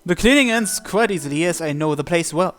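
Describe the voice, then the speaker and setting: A man with a somewhat high voice narrates a visual novel in the first person. He reads aloud as a let's player, with game music behind him. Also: lively intonation, fairly quick, a bit bright.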